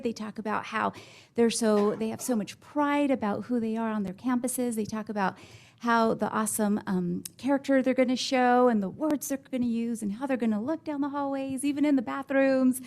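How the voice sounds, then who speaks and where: Higher-voiced woman giving a lively report. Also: creaky. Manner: lively and casual, with fillers. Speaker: district staff presenter. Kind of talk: board meeting report. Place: school board meeting room.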